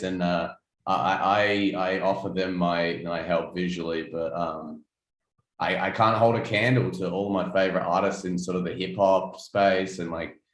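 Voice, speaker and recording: A young Australian man talks in a low, easygoing voice, pausing often on ums and starting his sentences over. The sound is echoey, as if recorded in a bare room. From South Australia. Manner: laid-back, many ums and restarts. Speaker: guest artist. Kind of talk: casual interview chat.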